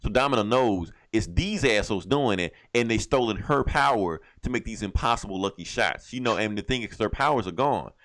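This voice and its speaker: A man's voice: lowish voice, expressive, brisk, hardly any pauses, a bit bright. A man co-hosting a podcast, telling a story in a casual, rambling, slangy way with some swearing. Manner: rambling, slangy, acts out lines in passing. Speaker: podcast co-host reviewing comic books. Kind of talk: casual, profane storytelling recap.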